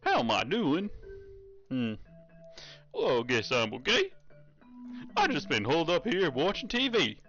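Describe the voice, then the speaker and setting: A man's voice: on the high side, wildly swooping pitch, measured pace, pauses often, fairly bright tone, lo-fi sound, soft background music, full character voice. A man voicing a laid-back, teasing cowboy ghost with a Southern US accent and a drawling, folksy way of talking. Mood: laid-back, candid.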